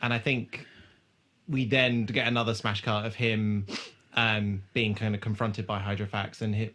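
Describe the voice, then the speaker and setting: A man's voice: lowish voice, level tone, normal speed, a bit bright. Casual, irreverent man chatting. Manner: sweary, irreverent. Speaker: podcast co-host. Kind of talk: casual banter, recapping a TV show.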